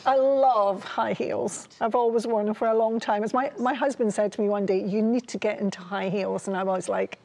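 A woman's voice: mid-range voice, steady tone, normal speed, few pauses, a little mellow. A woman in her sixties with a Scottish accent, sharing personal testimony as a guest on a studio talk show, in a conversational, hesitant way. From Ayr, Scotland. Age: 60s. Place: TV studio, seated at a table.

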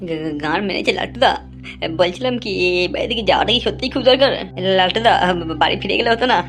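Woman playing a quick, stammering cowardly young sidekick. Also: medium pitch, animated, faint music behind, lightly acted. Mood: nervous, wheedling. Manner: stammering, nervous, wheedling. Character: cowardly, stammering young man, whiny excuse-making sidekick. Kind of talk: comic cartoon dub.

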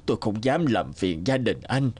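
A man's voice: fairly low pitch, darkish tone, breathy edge, full character voice. A man playing a humble, injured old traveller in a cartoon, speaking hesitantly and apologetically, with groans. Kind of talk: cartoon dubbing.

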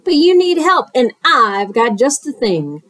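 A pushy, fast-talking fox from a children's storybook, sly and full of sales patter, voiced by a woman reader. His voice sits high above her own and swings up and down with eager energy. The sound is dry and close to the mic. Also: big pitch swings, a bit bright, no room sound, heavily acted. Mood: pushy, salesy. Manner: rapid huckster sales pitch.

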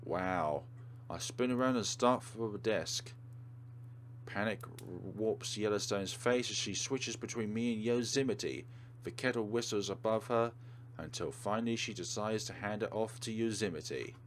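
A man's voice: lowish voice, unhurried, a little hiss or hum. A man hosting a let's play, reading game narration aloud and adding casual commentary.

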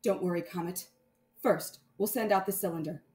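A woman's voice: lowish voice, moderate pace, a little mellow, reverberant, close to an everyday voice. A woman voicing a bold, dramatic boy space hero in a children's storybook, in a theatrical, exclamatory manner. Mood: reassuring. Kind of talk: children's storybook read-aloud.

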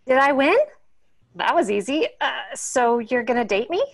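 A woman's voice: high voice, animated, moderate pace. A woman playing a sweet, forward radio caller in a comedy table read. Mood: coy.

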